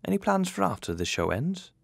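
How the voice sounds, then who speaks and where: A man's voice: high voice, sing-song, brisk, gravelly, no echo. A man hosting an interview, reading out scripted questions. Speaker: interviewer and host.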